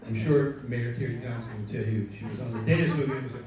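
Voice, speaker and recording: A man with a Cuban accent welcoming a live audience as producer, loose and self-deprecating, halting with fillers. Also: mid-range voice, steady tone, a little mellow. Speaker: show producer. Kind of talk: rambling welcome speech. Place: live stage before an audience. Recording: echoey room, mic from far, audience.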